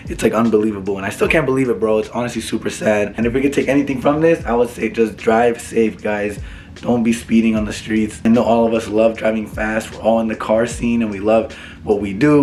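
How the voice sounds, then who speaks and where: A young man vlogging casually to his viewers, slangy and relaxed, sick and losing his voice. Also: medium pitch, slight background noise. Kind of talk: casual vlog talk to camera.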